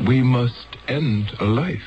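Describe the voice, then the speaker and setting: An actor playing a grave, paternal elder of a peaceful future world in a 1970s radio drama, speaking solemnly and deliberately. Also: male voice, medium pitch, natural voice. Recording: dull lo-fi 1970s radio broadcast.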